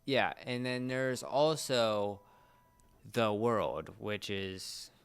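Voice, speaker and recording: Smooth, low-voiced young man. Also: drawn-out words. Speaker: podcast co-host. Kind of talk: casual pop culture discussion.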